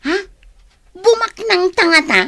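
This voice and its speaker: An actress playing a down-to-earth, kindhearted young woman in an Ilocano radio drama, animated and expressive. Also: extremely high pitch, a little slow, exaggerated voice. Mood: skeptical.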